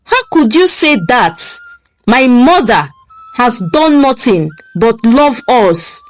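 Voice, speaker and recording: A woman's voice: a little high, big pitch swings, slow, pitched above the performer's own voice. Woman voicing an outraged young husband. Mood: angry disbelief. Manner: raised, demanding. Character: shocked, angry young husband.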